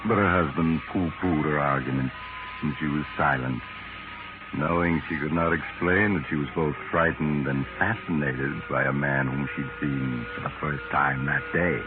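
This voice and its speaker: An actor playing an ominous narrator of a sinister tale, measured, in 1940s radio mystery style. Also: male voice, very deep voice, steady tone. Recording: narrow-band vintage radio recording. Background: music.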